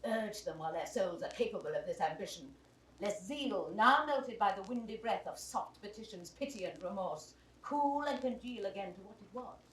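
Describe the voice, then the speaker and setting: A woman's voice: mid-range voice, animated, moderate pace, natural voice. An actress playing a shrewd older queen in a 1960s Shakespeare audio drama, insistent and conspiratorial. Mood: urgent, conspiratorial. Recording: echoey, distant, faintly noisy old recording.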